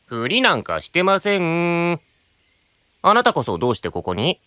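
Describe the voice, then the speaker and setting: A man's voice: fairly high pitch, huge pitch swings, moderate pace, sharp tone, no room sound, full character voice. A man playing a polite, teasing young knight in an anime-style voice drama, with courteous, amused phrasing.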